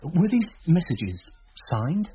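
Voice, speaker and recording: An actor playing a measured, sceptical man asking probing questions in a radio drama, with a husky voice. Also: on the high side, big pitch swings, lo-fi sound, exaggerated voice. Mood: measured, grave.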